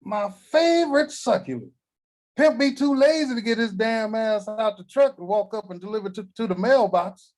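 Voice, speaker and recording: A man's voice: high voice, moderate pace, a little mellow, natural voice. A man reading the part of a crotchety elderly family patriarch at a table read, brash and trash-talking. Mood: indignant.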